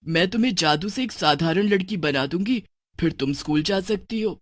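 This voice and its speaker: A woman voicing a loving witch mother, speaking gently but firmly in a children's cartoon story. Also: lowish voice, animated, moderate pace, dry recording, studio quality. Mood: tender. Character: loving, protective witch mother with magic powers.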